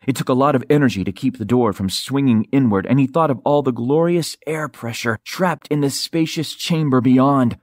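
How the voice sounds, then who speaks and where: A male audiobook narrator with a mid-pitched voice reads dramatic fiction. His delivery is expressive and suspenseful. The recording is close and very dry, as from a studio microphone. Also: moderate pace, few pauses, no room sound.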